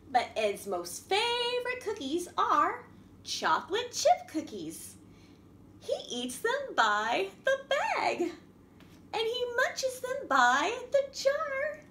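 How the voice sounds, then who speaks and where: A middle-aged woman hosting a children's storytime, reading and explaining in a sing-song, animated manner with simple questions for small children. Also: very high-pitched. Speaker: library storytime host. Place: small indoor room at a wooden table. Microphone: camera a few feet away. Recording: roomy echo, faint background noise.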